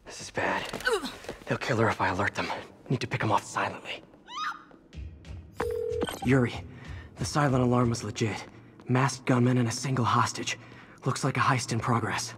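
An actor playing a young American superhero in a video game, quick-witted and earnest, often muttering wryly. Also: male voice, mid-range voice, noisy background, natural voice. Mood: hushed, wary. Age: young adult.

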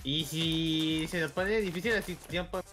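A woman's voice: low-pitched, normal speed. A young woman chatting casually and playfully as a co-player during a game, in brief replies. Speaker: gaming co-player. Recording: music behind the voice. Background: music.

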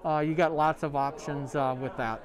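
A middle-aged man, a sales rep, showing products in a large store, talking in a halting way full of ums and uhs. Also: on the high side, fairly even intonation. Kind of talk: product demo. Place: large sporting goods store, indoors.

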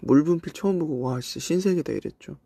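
A young Korean man from South Korea with traces of a Cheongju accent, chatting casually on a livestream and reminiscing in a relaxed way with soft asides. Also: a little high, fast, creaky, breathy edge, no room sound. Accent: Cheongju dialect traces in Korean. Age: young adult. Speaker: idol livestream host. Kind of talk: casual livestream chat reading comments. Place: indoors, voice-only stream.

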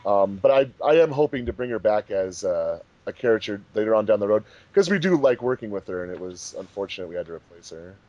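A man's voice: on the low side, very animated, quick pace, few pauses, dark tone, highs cut off. A man chatting casually as a director on a group commentary track.

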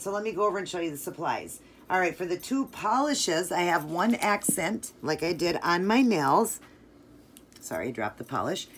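A woman's voice: on the low side, a little hiss or hum. A middle-aged woman hosting a nail art tutorial, chatting eagerly through each step and gushing about colors. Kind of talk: casual step-by-step tutorial narration.

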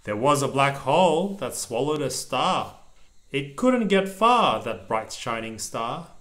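A man reading a children's picture book aloud to a small child, pausing to explain things in simple words. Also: medium pitch, big pitch swings, a bit bright. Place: indoors at a table over the open book.

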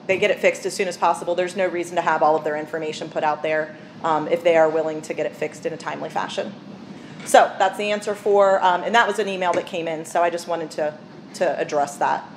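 A woman mayor chairing a village council meeting, moving briskly through the agenda in a casual way with many fillers. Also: fairly low pitch, slight background noise.

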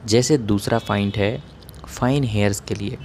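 Low, mellow man explaining methodically. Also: a little slow, slight background noise. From India. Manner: plain, instructive, methodical. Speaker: dermatologist giving hair-care advice. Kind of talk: educational explainer, point by point.

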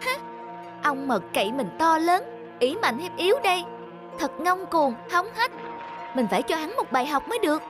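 Plucky little high-voiced cartoon ant. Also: female voice, lively intonation, normal speed. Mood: indignant. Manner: reasoning, indignant. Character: small plucky ant, polite but defiant. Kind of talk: animated children's fable acting. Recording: music under the voice.

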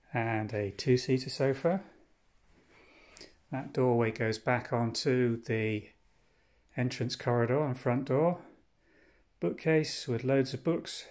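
A man with a mid-pitched voice walks through a cottage with a handheld camera, listing furniture and fittings one by one. His delivery is relaxed and itemizing, with pauses as he moves between rooms. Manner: unhurried, descriptive, pausing between items. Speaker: holiday cottage owner giving a tour. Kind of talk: guided walk-through house tour. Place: inside a cottage, walking room to room.

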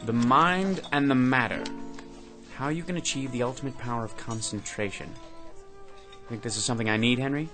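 An American actor playing a fussy, sardonic office worker in a radio drama, dry, pompous and condescending. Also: male voice, mid-range voice, moderate pace, music in the background, lightly acted. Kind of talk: full-cast radio drama acting.

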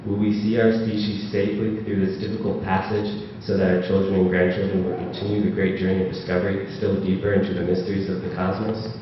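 A man's voice: low-pitched, level tone, fast, hardly any pauses, a little hiss or hum. A man speaking from the floor at a panel event, hesitant with many fillers, reading quotes aloud with more force. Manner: hesitant with many ums, rising and louder when quoting. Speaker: audience member. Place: large auditorium. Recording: echoey hall from far off, dull.

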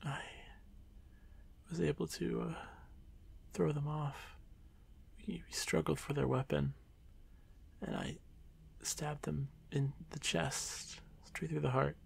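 A man voicing a shy, haunted drider monster boy in an ASMR fantasy roleplay, stammering softly and often slipping into breathy whispers. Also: fairly high pitch, level tone, takes it slowly, long pauses, heavily acted. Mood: tearful, ashamed. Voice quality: soft, breathy, creaky.

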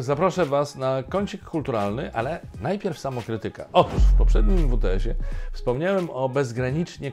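A man's voice: medium pitch, darkish tone. A middle-aged man hosting a satirical news show, delivering scripted commentary with ironic, emphatic asides from a home study.

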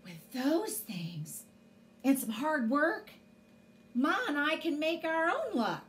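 Woman as a plucky, high-voiced young cowgirl. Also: very animated, slow pace, slight background noise, exaggerated voice. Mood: determined. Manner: folksy, earnest.

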